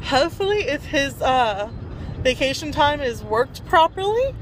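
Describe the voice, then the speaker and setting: Young woman, giggly vlogger, bright high voice, road noise behind. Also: sing-song, a little slow, poor audio. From Texas. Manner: chatty, giggly. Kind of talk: casual chat. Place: inside a car at night. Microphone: phone at arm's length.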